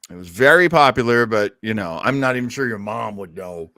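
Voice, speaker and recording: A man's voice: lowish voice, animated, fairly quick, pushed and bright, dry recording. Male podcast host, enthusiastic rambling storyteller, casual delivery, dry close recording. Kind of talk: casual chat about old time radio.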